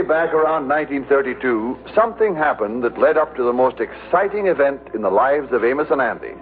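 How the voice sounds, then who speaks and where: A man narrating a vintage radio show in a scripted storytelling style. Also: medium pitch. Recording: tinny, faintly hissy vintage radio.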